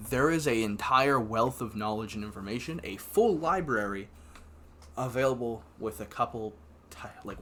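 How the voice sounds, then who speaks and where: A man's voice: medium pitch, a bit bright, a little hiss or hum. A young man of about nineteen from Canada, talking casually to camera in a rambling way full of fillers and restarts. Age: young adult, about 19. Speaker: vlogger.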